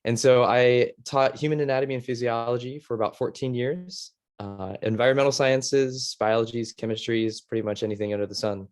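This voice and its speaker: A middle-aged American man from Ohio giving an informal online presentation as a former teacher, in a casual, halting way with many fillers. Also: medium pitch, steady tone. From Ohio, United States. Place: video call.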